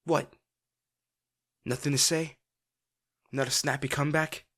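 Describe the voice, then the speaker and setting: A young man playing a brash teenage bully with a secretly caring side in a dramatic audio roleplay, speaking gruffly with a husky, breathy voice, swearing and sighing. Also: on the high side, fairly even intonation, fairly bright tone. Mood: alarmed, angry. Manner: gruff, swearing, sighing, halting when upset.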